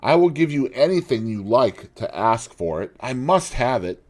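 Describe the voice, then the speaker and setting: Man voicing witty aristocratic gentleman, languid, dry, lively pitch. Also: moderate pace. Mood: enthusing.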